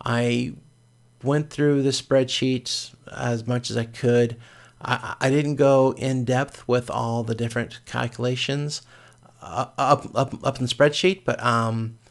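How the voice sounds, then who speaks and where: A male instructor with a creaky, fry-laden voice at a middling pitch talks through material step by step. His delivery is informal and somewhat halting, with frequent repeats and rephrasing as he explains. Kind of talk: informal explanatory lecture, screen walkthrough.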